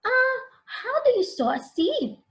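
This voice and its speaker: A woman's voice: very high-pitched, sing-song, a little slow, fairly bright tone, pitched above the performer's own voice, full character voice. A woman voicing an anxious, curious little boy from a picture book, exclaiming and repeating worried questions.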